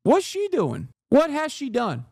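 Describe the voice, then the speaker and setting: A man's voice: very high-pitched, wildly swooping pitch, unhurried, darkish tone, no echo, clean studio sound. A man in his thirties, a streamer, ranting in heated, profane reaction commentary and laughing as he talks. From Turkey. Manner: laughs through his lines.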